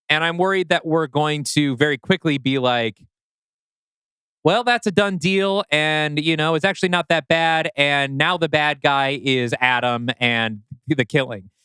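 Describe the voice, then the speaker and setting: A man with a fairly high, clean and resonant voice talks through a story like a podcast co-host. He is thoughtful and measured, in a casual analytical style, and the sound is dry and close. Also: pushed and bright, clean tone, no echo.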